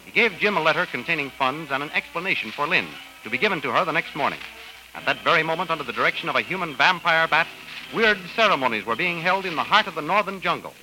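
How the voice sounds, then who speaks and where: A man's voice: fairly high pitch, lively intonation, fast talker, music in the background, rough recording. A man narrating a radio adventure serial as announcer, in a dramatic 1930s style.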